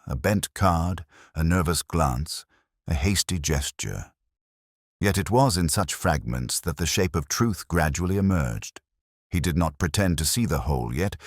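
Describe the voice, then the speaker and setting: A man narrating a detective mystery in a measured, wry storytelling manner. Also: rumbling low, no room sound, studio quality.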